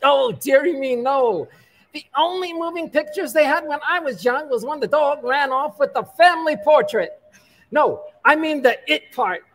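Casting: woman as elderly neighborhood granny, high voice, coy, folksy, feisty, 1940s radio comedy. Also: lightly acted, low-quality recording. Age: older. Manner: coy, folksy, quick with punchlines. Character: elderly gossipy widow, cute cheeky neighborhood know-it-all granny. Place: live stage in a museum hall.

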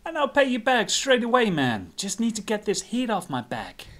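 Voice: mid-range voice, huge pitch swings, moderate pace, a bit bright, voice pushed up. A comedy podcaster playing a down-on-his-luck gambler man who talks casually and asks for favours in a pleading way.

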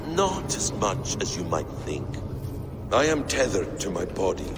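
An actor playing a calm, cryptic ancient man in a game cutscene, speaking in a measured, solemn way over music. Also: low-pitched, heavily acted. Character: slow, cryptic, calm ancient male being. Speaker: ancient being in a simulation.

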